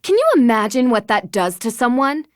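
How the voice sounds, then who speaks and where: A woman's voice: fairly high pitch, very animated, moderate pace, dry recording, clean studio sound. An actress playing a determined young female detective in a video game, speaking in an earnest, assertive, articulate way. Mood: earnest, indignant. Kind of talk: scripted video game voice acting.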